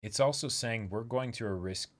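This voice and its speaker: A man co-hosting a manga reading stream, reading aloud and discussing it in a measured, explanatory way. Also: medium pitch.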